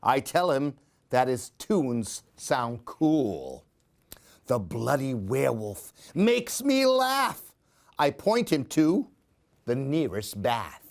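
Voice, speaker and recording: A man reading a children's story aloud in an expressive, theatrical, singsong way. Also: medium pitch, takes it slowly, creaky. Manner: theatrical, singsong rhyming delivery.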